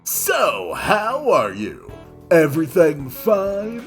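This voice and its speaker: A loud, bouncy male head nurse played by a man reading aloud from a visual novel. He is chummy and grinning, pitched up, stretching his words with emphasis. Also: wildly swooping pitch, soft background music, exaggerated voice. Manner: emphatic, drawn-out, chummy delivery.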